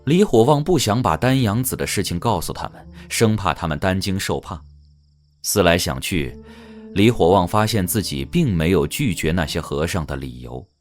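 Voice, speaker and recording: A male audiobook narrator with a deep voice. He tells the story dramatically, with lively inflection and faint music underneath. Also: normal speed.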